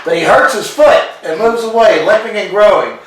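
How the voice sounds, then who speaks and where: A man's voice: sits high, moderate pace, distant mic, background noise. A man reading the stage directions aloud in a plain, matter-of-fact way during a play reading. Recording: echoey room picked up from afar.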